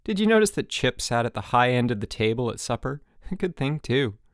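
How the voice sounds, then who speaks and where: Narrator as a cheeky, excitable boy camper. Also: medium pitch, a little fast, no echo, clean studio sound. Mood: amused, eager. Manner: lively, jeering.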